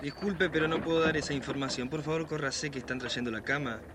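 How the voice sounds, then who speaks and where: An actor playing a gruff, impatient furniture mover in a radio drama. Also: male voice, on the high side, quick pace, natural voice. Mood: irritated. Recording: noisy street scene behind.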